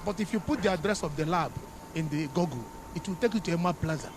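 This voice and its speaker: A man with a Nigerian accent giving a street interview, telling his account in steady detail. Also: fairly high pitch, fast. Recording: street noise with passing vehicles.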